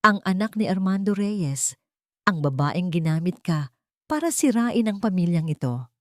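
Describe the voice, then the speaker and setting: A man's voice: high voice, expressive, moderate pace, fairly bright tone, no echo, clean studio sound. A woman voicing a stern older father, a wealthy patriarch who speaks in a hard, commanding, emotionless way in a dramatic story. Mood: cold, commanding.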